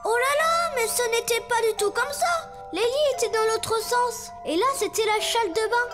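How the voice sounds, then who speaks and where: A woman voicing an excited, chatty small boy in a space cartoon. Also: very high-pitched, normal speed, roomy sound. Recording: cartoon dialogue with music behind.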